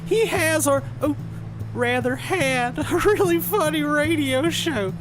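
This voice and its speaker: High-voiced, chatty police officer. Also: female voice, lively intonation, slow pace, over music. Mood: polite, chatty. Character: chatty, deferential police officer briefing a detective. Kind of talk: audio drama dialogue.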